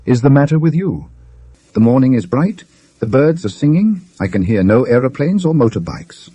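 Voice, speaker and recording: A man with a British accent voicing a mature, companionable, mildly flippant gentleman in a storybook tale, in a breezy conversational way. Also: medium pitch, big pitch swings, normal speed, breathy edge, dry recording, voice pushed up. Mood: cheerful, inquiring.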